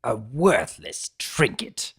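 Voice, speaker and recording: A man voicing a bitter, wounded old centaur villain in a dramatic story reading, slow and brooding. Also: big pitch swings, a bit bright, breathy edge, full character voice. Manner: slow, low, brooding delivery.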